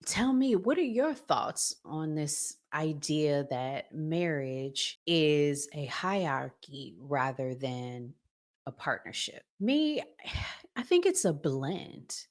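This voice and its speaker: Female American host, low register, slow delivery, conversational and emphatic reaction commentary. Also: very animated. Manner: emphatic, conversational, addresses viewers directly. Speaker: host of a relationship reaction show. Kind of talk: casual video commentary.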